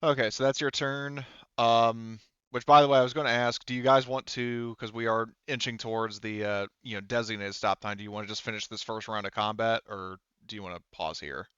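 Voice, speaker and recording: A low-voiced man behind the screen at an online tabletop game night, halting through the rules on a slightly muffled mic. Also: steady tone, fairly bright tone, clear, resonant tone, no room sound. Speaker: game master. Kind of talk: casual rules talk running a tabletop game.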